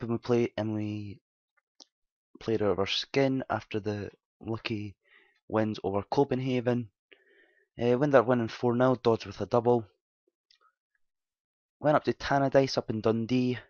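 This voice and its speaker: A man with a slightly hoarse, dry voice casually talking through a football management game, rambling and correcting himself as he recaps results. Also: darkish tone, no room sound. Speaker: game commentator. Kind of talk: casual gameplay commentary. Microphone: desktop mic at home, quiet. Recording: dull low-fi mic.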